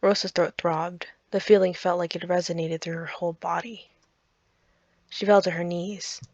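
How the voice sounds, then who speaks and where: A woman's voice: on the low side, level tone, normal speed, darkish tone, no room sound, highs cut off. Woman narrating a visual novel, dry sound. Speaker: let's play narrator. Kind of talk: dramatic visual novel read-aloud. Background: faint game music.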